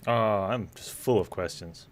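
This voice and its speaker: A man's voice: lowish voice, a little slow. A man giving casual, joking live commentary as a game streamer, with a wry manner.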